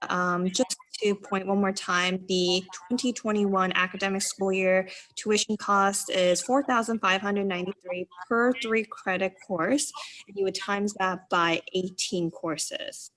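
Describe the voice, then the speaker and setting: A woman's voice: medium pitch, a bit bright, rough recording. Woman moderator reading webinar questions.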